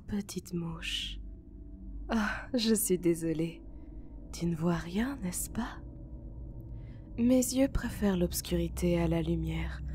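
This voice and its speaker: Woman as a slow, whispery seductive spider-woman. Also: fairly low pitch, a little mellow. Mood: teasing, seductive. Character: seductive female spider-woman drider, soft predatory courtship. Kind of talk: ASMR roleplay acting.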